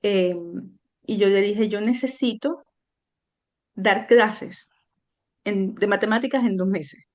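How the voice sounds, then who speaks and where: A woman's voice: mid-range voice, slow pace, darkish tone. A middle-aged woman from Venezuela, a guest recounting her own story in an earnest, reflective way with frequent hesitations. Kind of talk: personal storytelling in an interview.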